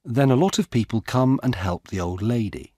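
A man narrating a simple story as an audiobook reader, with careful, deliberate enunciation and expressive delivery. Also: mid-range voice, slightly rough, no room sound, clean studio sound. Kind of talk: slow, scripted graded-reader story narration.

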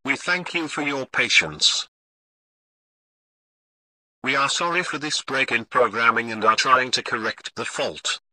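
A man playing a calm, formal television emergency news announcer, reading a scripted bulletin in a measured way. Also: mid-range voice, no room sound, poor audio. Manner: formal, measured, read-out delivery.

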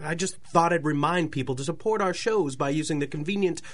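An actor playing a petulant, needy little radio-show underling, whiny and pleading, in comic old-time radio style. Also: male voice, on the high side, a little fast, lightly acted. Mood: eager.

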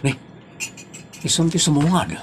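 Actor as earnest, suspicious young Ilocano husband, comedy drama: very high male voice, nagging questions, faint noise. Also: moderate pace, lightly acted. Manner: questioning, insistent.

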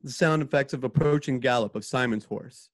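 A man's voice: mid-range voice, brisk, no room sound. Casual man narrating sound cues, dry sound. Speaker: narrator and sound-effects director of a radio play. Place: home, remote video call.

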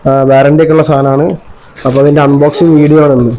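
A man's voice: a little high, flat delivery, quick pace, warm tone. A man with a Kerala Malayalam accent chatting casually as he hosts an unboxing vlog, explaining things informally step by step. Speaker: vlogger. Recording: noisy amateur vlog audio with clicks.

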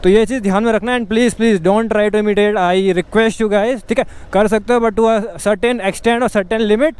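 A man's voice: high voice, fairly even intonation, a little slow, darkish tone, smooth. A young man, chatting jokily on a motovlog while riding outdoors. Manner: joking, mixes Hindi and English. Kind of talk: casual vlog chat while riding.

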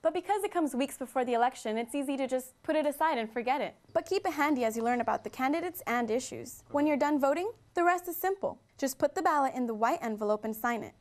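A woman's voice: high-pitched, expressive, fast. A woman reading a scripted public service announcement in a friendly, instructive way.

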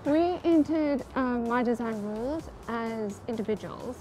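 Friendly woman contestant, higher voice. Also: takes it slowly, mellow, a little hiss or hum. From South Africa. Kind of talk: casual interview.

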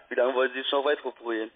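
A man calling from a call centre, talking in a mocking way. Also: mid-range voice. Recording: tinny phone line.

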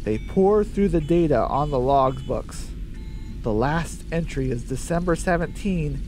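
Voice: mid-range voice, lively intonation, a little slow, a little mellow, faint music behind. A narrator reading a scripted radio drama.

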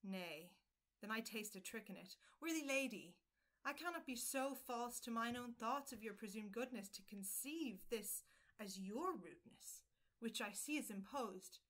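A woman playing a bold, eloquent gallant in an early modern audio drama, wooing in measured, theatrical, courtly speech. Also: on the high side, expressive, fairly bright tone. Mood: undeterred.

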